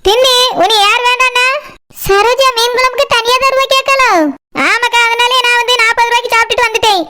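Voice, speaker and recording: A performer voicing a grumpy, sharp-tongued cartoon village woman, sarcastic and scolding, with a Kanyakumari Tamil accent. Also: very high voice, flat delivery, quick pace, background noise. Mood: irritated. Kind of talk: comic cartoon dialogue.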